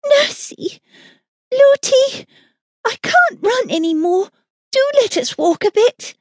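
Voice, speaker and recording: A woman's voice: very high-pitched, big pitch swings, slow, dry recording, pitched above the performer's own voice, heavily acted. A woman voicing a young storybook princess, a spirited but frightened little girl with a plaintive, childlike delivery. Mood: pleading, exhausted.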